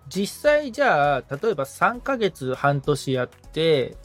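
A man's voice: on the high side, big pitch swings, slow pace, faint music behind. A man chatting as a podcast assistant being coached, musing and asking questions in a casually polite, tentative way.

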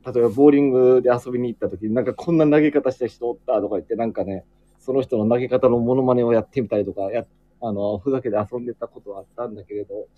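A man in casual, reflective group chat, speaking haltingly as he searches for his words. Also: medium pitch, fairly even intonation, no echo, lo-fi sound. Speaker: acting student, guest in a group chat.

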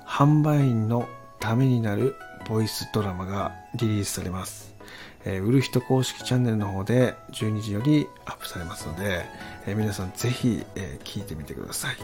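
A man with a low, creaky voice hosts an audio channel. He announces things politely and casually, phrase by phrase, over soft music.

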